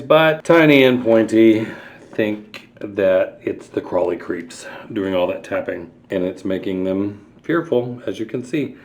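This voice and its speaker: A man's voice: on the low side, a little mellow. A man reading a picture book to young children, explaining the pictures and asking them questions as he goes. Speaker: children's storytime reader. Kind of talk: storybook read-aloud with explanatory asides to children.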